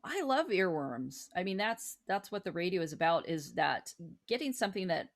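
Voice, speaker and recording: A woman's voice: on the low side, sing-song, moderate pace. A woman co-hosting a casual music talk show, chatting in a jokey, self-deprecating way. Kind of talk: casual music and fan chat.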